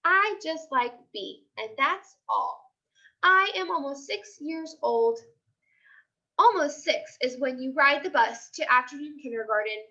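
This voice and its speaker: Woman playing an excitable, high-pitched little kindergarten girl. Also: a little slow, lo-fi sound, full character voice. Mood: emphatic, opinionated. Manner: childlike, dramatic, emphatic. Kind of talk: children's chapter book read aloud.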